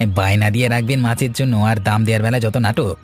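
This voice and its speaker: Combative low-voiced village fishmonger, played by a man. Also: fairly even intonation, fast, natural voice. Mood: indignant, menacing. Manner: blunt, combative, sarcastic. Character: aggressive, threatening village fishmonger demanding payment. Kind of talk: cartoon story dialogue.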